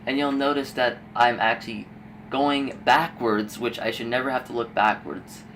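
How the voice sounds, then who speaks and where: Rambling young man, voice a bit hoarse. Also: medium pitch, steady tone, moderate pace, fairly bright tone, a little hiss or hum. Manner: rambling, with frequent fillers and swearing. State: losing his voice, a bit hoarse. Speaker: gaming YouTuber giving tips. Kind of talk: casual gameplay commentary voice-over.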